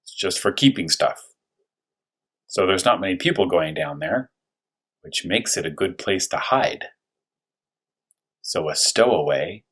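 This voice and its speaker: Low, husky-voiced man reading to children. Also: fairly bright tone. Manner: thinks aloud with rhetorical questions. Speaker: first-grade teacher reading a story aloud. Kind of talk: read-aloud with commentary for young children.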